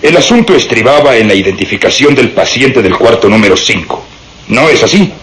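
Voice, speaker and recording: Un actor interpretando a un inspector de policía insistente y triunfal, de hablar declamatorio, en una radionovela. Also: male voice, medium pitch, animated, fairly quick, a bit bright, a little hiss or hum, lightly acted. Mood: confident, triumphant. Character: insistent, triumphant male police inspector, sure of himself. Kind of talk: radio drama acting.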